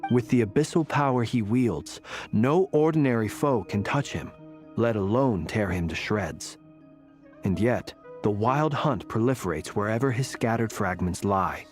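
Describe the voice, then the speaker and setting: An actor playing a courteous, analytical gentleman in a fantasy game, speaking politely and deliberately. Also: fairly low pitch, faint music behind, close to an everyday voice. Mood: politely probing.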